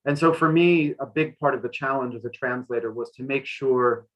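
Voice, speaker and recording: A man with a notably bright voice and mid-range pitch speaks on a panel about literary work. He is thoughtful and halting, repeating words as he shapes each point. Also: highs cut off. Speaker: literary translator and interpreter. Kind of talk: panel discussion, interpreting and answering questions.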